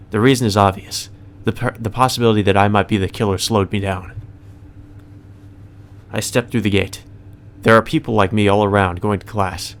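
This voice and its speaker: Low-voiced man reading a visual novel. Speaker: let's player reading a visual novel aloud. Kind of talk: story narration with casual commentary. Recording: music under the voice.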